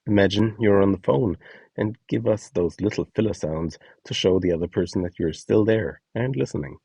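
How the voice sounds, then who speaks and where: A man's voice: fairly low pitch, moderate pace, creaky, dark tone, husky, no room sound, far from the mic. A man reading a scripted instructional guide, acting out short example lines as he goes. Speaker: instructor presenting a voice donation guide.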